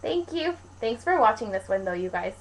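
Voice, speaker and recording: A woman's voice: on the high side, big pitch swings, moderate pace, rough recording. A young woman from Canada talking casually to viewers in a rambling, informal way, like a vlogger answering questions. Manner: rambling, informal, colloquial with frequent "like". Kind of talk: casual chat to camera, Q&A vlog.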